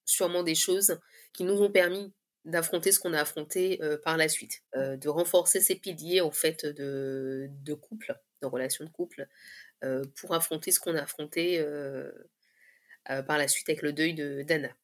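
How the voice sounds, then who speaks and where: A woman in her thirties giving a candid personal testimony in conversation, often pausing mid-phrase as she searches for words. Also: low-pitched, steady tone. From Guadeloupe. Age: 30s.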